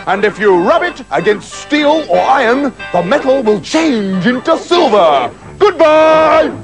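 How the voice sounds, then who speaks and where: A man voicing a blustering, dim-witted male spirit from a bottle, in a loud, rough voice, in a storybook reading. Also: very high voice, very animated, measured pace, over music, pitched above the performer's own voice, full character voice. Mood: solemn.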